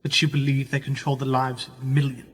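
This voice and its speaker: An actor playing a wary, sceptical younger journalist in a radio play, speaking in a terse, dry, questioning way. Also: male voice, mid-range voice, completely flat delivery, fairly quick, slightly rough, natural voice. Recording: reverberant hall, noticeable background noise.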